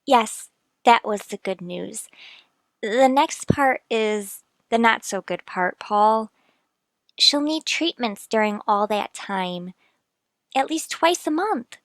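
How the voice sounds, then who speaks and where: A woman acting in an old-time radio drama as a gentle, worried, devoted wife and mother, tender and earnest. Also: a little high, lively intonation, takes it slowly, no room sound.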